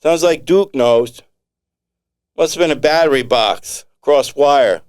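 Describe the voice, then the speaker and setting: A man's voice: fairly high pitch, slow, darkish tone, clean tone, voice pushed up. A man voicing a hostile local bayman who growls threats and swears over the boat radio. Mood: menacing.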